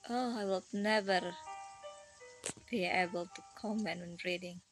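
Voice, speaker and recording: A woman streamer giving casual, low-key commentary while playing a game, musing and self-deprecating. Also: measured pace, faint music behind, low-quality recording. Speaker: gaming streamer.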